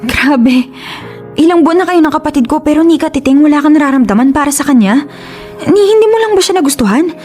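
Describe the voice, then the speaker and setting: Young Filipina in radio drama, high voice, quick delivery, conflicted, scolding, pleading, music underneath. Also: female voice, animated, darkish tone. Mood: exasperated, scolding. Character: conflicted young woman, protective of her sick sister, easily exasperated.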